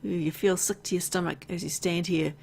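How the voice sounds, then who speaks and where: Low, creaky-voiced woman narrating a game. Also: fairly even intonation, a little fast, darkish tone. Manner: reacts playfully to the story with exclamations and asides. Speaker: video game let's player. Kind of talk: reading game narration aloud with casual asides.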